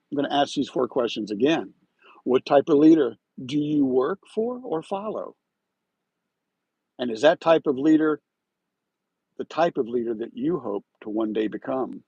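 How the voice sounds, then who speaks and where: Husky-voiced man giving a motivational pep talk. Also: fairly high pitch, darkish tone, dry recording, highs cut off. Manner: preachy, emphatic, with drawn-out pauses. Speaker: live-stream host. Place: parked car with window open on a drizzly day.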